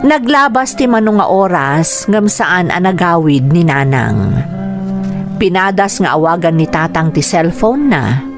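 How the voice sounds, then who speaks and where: An actress with a Filipino accent playing a sad child who narrates family troubles in a radio drama. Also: female voice, lowish voice, animated, measured pace, music in the background. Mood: sorrowful.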